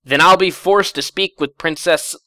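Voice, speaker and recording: Man voicing a flustered, higher-pitched female cartoon pony. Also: normal speed, sharp tone, no echo. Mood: indignant, insistent. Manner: urgent, stammering. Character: flustered, insistent young female pony, easily embarrassed.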